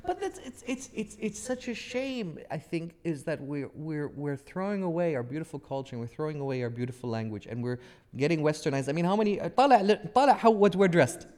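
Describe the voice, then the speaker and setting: A man's voice: on the high side, lively intonation, quick pace, few pauses, darkish tone. A man, a native Arabic speaker, hosting a casual interview and slipping informally between English and Arabic. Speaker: interview host.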